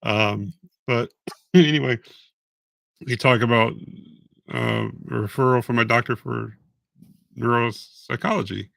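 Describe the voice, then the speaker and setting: Gravelly, hoarse man on a live stream. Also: mid-range voice, animated, a bit bright, dry recording. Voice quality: raspy, voice fading. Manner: rambling, halting, frequent restarts. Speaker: patient vlogger going live. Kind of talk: casual live-stream talk.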